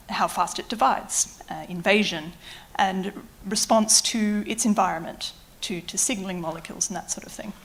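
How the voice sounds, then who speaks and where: An Australian woman with a faint British tinge in her speech explains biology to a public audience. Her delivery is clear and patient, with the odd wry aside, in a mid-range voice. She speaks into a microphone in a lecture hall. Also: mid-range voice, fairly bright tone. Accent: Australian with slight British influence. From Adelaide, Australia. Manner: explanatory, with light self-deprecating asides. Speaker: university researcher giving a public lecture. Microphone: microphone at a lectern or on her.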